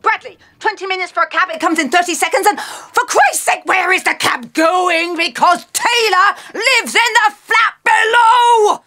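A woman's voice: very high voice, moderate pace, few pauses, pushed and bright, raised voice. A middle-aged woman playing an exasperated, foul-mouthed soap actress in a screen comedy, theatrical, haughty and sarcastic. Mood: exasperated, ranting. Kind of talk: screen comedy acting as radio drama actress. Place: small radio recording studio.

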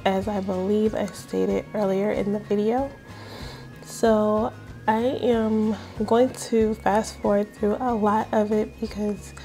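A young woman vlogging casually from her home kitchen, chatty and self-deprecating, getting over a cold. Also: medium pitch. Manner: relaxed, chatty, self-deprecating, many fillers. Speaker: vlogger reviewing an air fryer. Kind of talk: casual cooking vlog.

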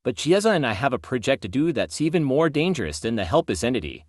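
Lively mid-pitched man addressing viewers. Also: quick pace, heavy background noise. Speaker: series creator and narrator. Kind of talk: scripted sign-off to viewers.

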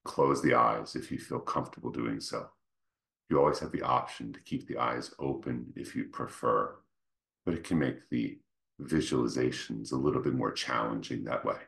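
Very deep, creaky-voiced man guiding meditation. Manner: gentle, unhurried, phrases broken by pauses. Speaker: meditation guide. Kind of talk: guided meditation, slow soothing instruction.

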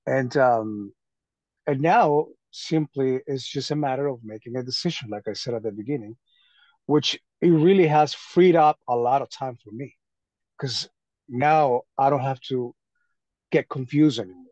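Halting man on a muffled call. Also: medium pitch, a little mellow, dry recording. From Venezuela. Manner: halting, repeats phrases. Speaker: call participant. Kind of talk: casual conversation on a group coaching call. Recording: dull lo-fi call audio.

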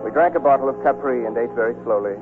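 An actor playing a tender, wistful soldier in love who narrates a 1940s radio drama. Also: male voice, mid-range voice, very fast, natural voice. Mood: wistful narration. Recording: tinny 1940s radio broadcast with music.

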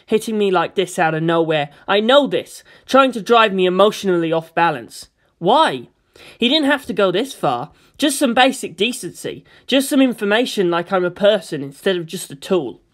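A woman's voice: medium pitch, very animated, moderate pace, close to an everyday voice. A woman acts out a teenage boy in a dramatic audiobook. He is agitated and full of protest. He questions everything with hurt suspicion. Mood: bitter frustration. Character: distressed, argumentative, suspicious teenage boy, reluctant pilot. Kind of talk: dramatic audiobook character reading.

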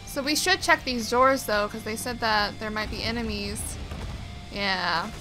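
A woman's voice: fairly high pitch, a little slow, music in the background, low-quality recording. A woman doing casual, slangy, reactive gameplay commentary as a let's player.

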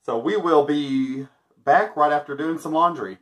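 A man's voice: on the high side, unhurried. Guy in his 30s reviewing stuff on camera, jokey, laughs a lot. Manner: joking, chuckles often. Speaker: vlogger testing a product. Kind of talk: casual product review chat. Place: small indoor room, backdrop with stars.

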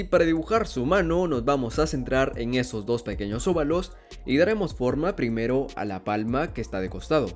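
A man narrating a step-by-step drawing tutorial in a calm, instructional voice-over. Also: medium pitch. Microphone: voice-over over hands-on drawing footage.

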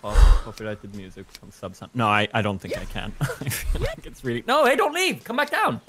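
A man's voice: mid-range voice, very animated, fairly quick, sharp tone. A young man playing a co-op game with a friend from his home room on a studio mic, teasing and giggling as he talks. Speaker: streamer, co-op guest. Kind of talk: casual gaming banter. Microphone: studio mic in front of him. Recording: home mic with clear background noise.

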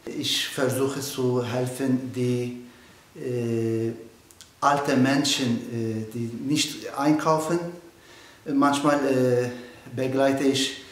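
A man's voice: mid-range voice, steady tone, drawn-out words, echoey room. A man, speaking hesitantly as a language learner. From Turkey. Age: 30s. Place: indoor studio backdrop.

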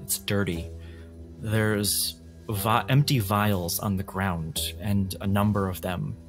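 A man with a lowish voice runs a tabletop game as game master. He describes scenes deliberately to his players. Music plays softly behind his narration. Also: steady tone.

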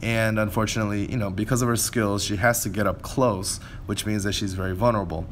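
A man's voice: fairly low pitch, steady tone, fast, slight background noise. A man giving casual, unscripted game walkthrough commentary in a conversational way, often hedging and correcting himself. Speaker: game video creator.

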